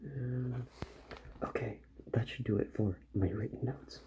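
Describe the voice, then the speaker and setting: A man doing a soft-spoken ASMR roleplay as a calm, methodical repair doctor, musing gently to himself and often drifting into a whisper. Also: mid-range voice, measured pace. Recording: muffled audio with faint background noise.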